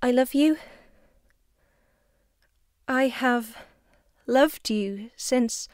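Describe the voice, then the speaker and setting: An actress playing an awkward, nervous woman in love, with a halting, hesitant delivery in a theatrical monologue. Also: high-pitched, a little mellow, dry recording, clean studio sound.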